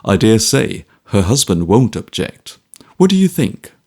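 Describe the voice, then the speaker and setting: A man voicing a kindly older gentleman who speaks in a soothing, reassuring way. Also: lowish voice, sing-song, measured pace, breathy edge, exaggerated voice.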